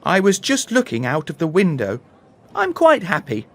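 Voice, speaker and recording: Man doing a puzzled, higher-pitched young girl. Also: huge pitch swings, moderate pace, fairly bright tone, exaggerated voice. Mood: puzzled, hesitant. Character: surprised, hesitant young girl traveller.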